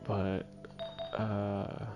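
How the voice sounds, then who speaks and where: Low, creaky-voiced man musing over a game. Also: monotone, extremely slow, dull audio. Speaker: video game livestreamer. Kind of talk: casual solo gameplay commentary, thinking aloud. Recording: faint game music behind voice. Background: faint video game music.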